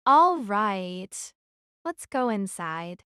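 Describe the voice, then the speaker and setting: A woman's voice: medium pitch, very animated, drawn-out words, pushed and bright, clean tone, no room sound, clean studio sound, full character voice. A woman playing a guarded, wary teenage girl archer who narrates her own story in an audio drama. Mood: suspicious, guarded.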